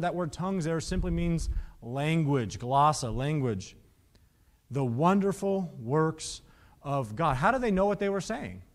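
A man's voice: fairly high pitch, a little mellow. A man in his thirties preaching a Bible teaching on a studio stage, reading verses aloud and asking the listeners rhetorical questions. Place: studio stage with painted backdrops.

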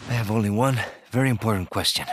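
An actor playing a wry, laid-back male captive stranger in a video game cutscene, talking in an unhurried way. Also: fairly low pitch, a bit bright, lightly acted. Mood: wry, groggy. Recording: very noisy backdrop with game ambience.

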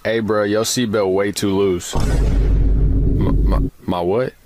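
A man playing an indifferent amusement park ride operator with flat, unbothered announcer patter. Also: on the low side, takes it slowly, background noise. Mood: deadpan, indifferent.

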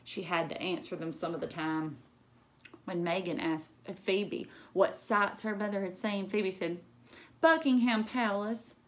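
A woman's voice: lowish voice, steady tone, measured pace. A woman, reading a story aloud expressively to children, with chatty asides. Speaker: elementary school librarian reading aloud. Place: small lamp-lit room at home.

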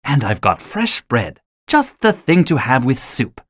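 Cartoon folktale: good-natured middle-aged village gent, hearty, very animated pitch, dry recording. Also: male voice, measured pace, slightly rough, no room sound, heavily acted. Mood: hearty, welcoming. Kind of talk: animated children's story acting.

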